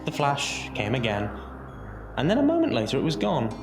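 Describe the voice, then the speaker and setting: A man narrating an audio drama as a scripted story, theatrical and deliberate, with dramatic pauses. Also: mid-range voice, huge pitch swings. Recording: roomy echo under music. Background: music.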